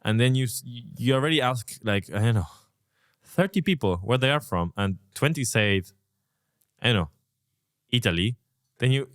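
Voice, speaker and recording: Lively-voiced man in a dry room. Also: medium pitch, no room sound. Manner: reflective, rambling, swears casually. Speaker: podcast host. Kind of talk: casual podcast conversation.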